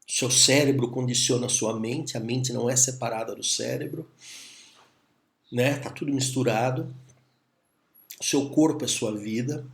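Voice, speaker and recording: A Brazilian man with a Brazilian Portuguese accent talks through a reflective lesson to camera. His voice has a gravelly edge and sits at an ordinary pitch for a man. He speaks calmly, pausing to muse and checking in with short tag questions. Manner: calm, musing, with tag questions and pauses. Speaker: teacher. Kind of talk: reflective video lecture.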